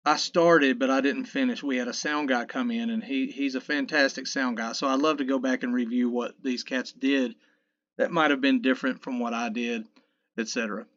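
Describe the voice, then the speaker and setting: A man in his fifties with a low mid voice, casually talking through a mixing tutorial in a rambling, self-correcting, folksy way. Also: fairly even intonation, moderate pace, fairly bright tone, highs cut off. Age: 50s. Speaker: sound engineer teaching mixing. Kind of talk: casual screen-recorded tutorial. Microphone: dynamic vocal mic.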